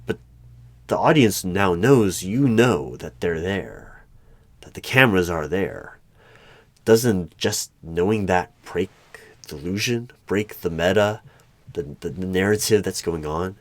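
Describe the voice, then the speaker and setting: A man playing an eerie, probing hiring manager in a one-sided ASMR interview roleplay, speaking deliberately with pauses between questions. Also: deep voice, lively intonation, no room sound. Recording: background noise with keyboard typing and clicks. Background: computer keyboard typing.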